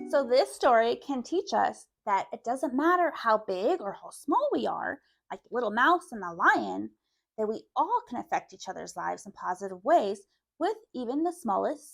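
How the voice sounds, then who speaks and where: A woman's voice: fairly high pitch, vocal fry, slightly rough. A woman reading a children's story aloud as host, with dramatic, careful narration.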